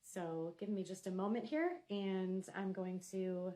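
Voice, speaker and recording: A woman's voice: medium pitch, fairly even intonation, a little slow, mellow. A woman hosting a live online interview in a conversational style.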